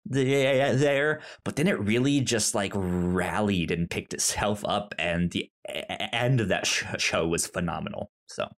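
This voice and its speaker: A man co-hosting a podcast, talking casually and haltingly, often stammering and restarting words. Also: on the low side. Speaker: podcast co-host. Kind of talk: casual chat.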